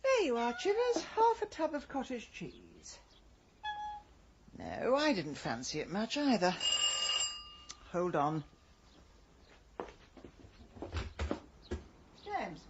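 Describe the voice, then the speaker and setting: An actress playing a sharp, imperious amateur detective in a radio drama, with an upper-class English accent and a dry, arch delivery. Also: female voice, fairly high pitch, wildly swooping pitch, takes it slowly, long pauses, muffled recording, background noise, heavily acted. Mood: wry. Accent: English Received Pronunciation. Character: sharp, imperious, witty amateur detective woman, middle-aged.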